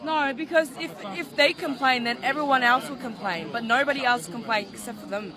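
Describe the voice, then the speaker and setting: High-voiced man giving curt answers. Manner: short curt replies, halting English. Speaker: interviewee. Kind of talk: street interview. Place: outdoors on a city street. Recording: loud crowd behind.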